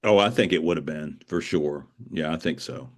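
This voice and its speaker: A middle-aged man speaking casually as an interview guest, explaining things in a halting way and repeating words. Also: rumbling low. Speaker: guest, author.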